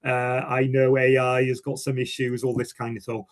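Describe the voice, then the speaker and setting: Welsh-accented male communications professional, medium pitch, informal and reflective, self-correcting as he presents. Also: flat delivery, moderate pace. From Wales. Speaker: communications director giving a testimonial. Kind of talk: informal first-hand presentation.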